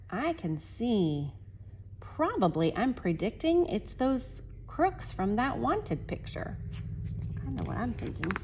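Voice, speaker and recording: A woman teacher reading a picture book aloud to children, animated and chuckling, pausing to talk to her class. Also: mid-range voice, slight background noise, poor audio. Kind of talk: children's read-aloud storytime.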